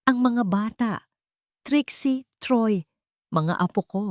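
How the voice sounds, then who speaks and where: Casting: meddlesome, theatrical older woman for a story, suspicious and dramatic, voice pitched up performed by a female reader. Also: slow, no echo, studio quality. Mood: alarmed. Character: nosy, melodramatic, suspicious older woman neighbor.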